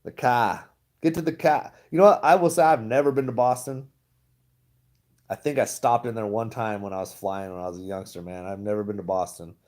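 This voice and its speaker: A man's voice: on the low side, fairly quick, darkish tone. A man chatting casually to his viewers, rambling and laughing often. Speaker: stock vlogger. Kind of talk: casual livestream chat.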